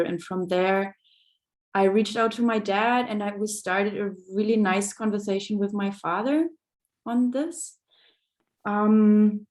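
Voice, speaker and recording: Slow, hesitant woman from Germany. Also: medium pitch. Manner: soft-spoken, hesitant, searching for words. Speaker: seminar panelist. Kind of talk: personal reflection in an online seminar. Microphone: video call microphone.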